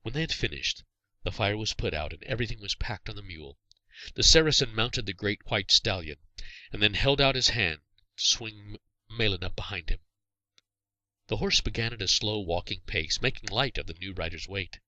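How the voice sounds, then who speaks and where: A man reading a scripted story as narrator, in a measured, dramatic storybook manner. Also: fairly low pitch, expressive, pushed and bright, no echo, poor audio. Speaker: author reading own story.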